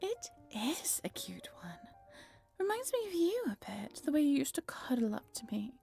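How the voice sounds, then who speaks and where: A woman's voice: high voice, sing-song, moderate pace, creaky, dark tone, faint music behind, heavily acted. A woman playing a snarky, teasing female vampire in an audio roleplay, talking to the listener in a wry, conversational way. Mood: coy, teasing. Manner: sardonic, wry, playful, conversational. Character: snarky, swearing female vampire road-tripper, teasing and dramatic.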